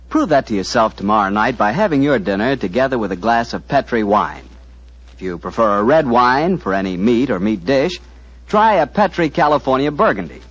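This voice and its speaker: A man announcing on a 1940s radio show, delivering a friendly, persuasive pitch in a husky voice. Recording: muffled 1940s radio broadcast.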